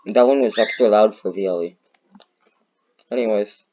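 A young man chatting over his own gameplay in a low voice, rambling, full of fillers and quick bursts of excitement. His mic sounds dull and lo-fi, with a faint hiss and keyboard clicks behind him. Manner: excitable, laughs often, rambling with many fillers. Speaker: gaming YouTuber. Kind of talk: casual gameplay commentary.

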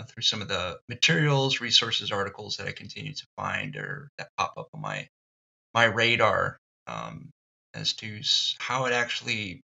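A man hosting a solo podcast, thinking out loud in a thoughtful, halting way with many ums and restarts. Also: on the low side, vocal fry, rough recording. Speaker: podcast host. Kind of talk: reflective solo podcast monologue.

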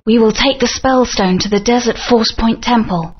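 An actress playing a brave young heroine who speaks earnestly and with determination. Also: female voice, a little high, normal speed, breathy edge. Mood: determined. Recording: dull lo-fi game audio.

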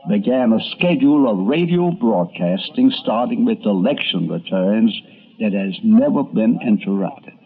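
A man's voice: lowish voice, animated, a little slow, narrow, tinny audio, a crowd in the background. An older man hosting a documentary, narrating from a script in a conversational storytelling way. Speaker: documentary host and radio columnist.

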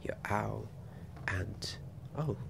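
Man playing a soft, low, creaky-voiced innkeeper. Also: heavily acted. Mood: gentle, soothing. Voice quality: soft, breathy, often dropping to whisper. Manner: gentle, soothing, unhurried. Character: kindly, attentive male innkeeper of a wizarding pub. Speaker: ASMR roleplayer. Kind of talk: ASMR fantasy roleplay. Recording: background ambience noise under close voice.

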